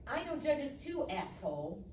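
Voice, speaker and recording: Angry suburban wife, acted by a woman, high and biting, heard in an echoey room. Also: big pitch swings, a little slow. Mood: furious. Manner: biting, sarcastic, loud. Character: furious, sarcastic suburban wife accusing her husband. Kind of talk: live table read of comedy screenplay. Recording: roomy, distant mic, faint noise.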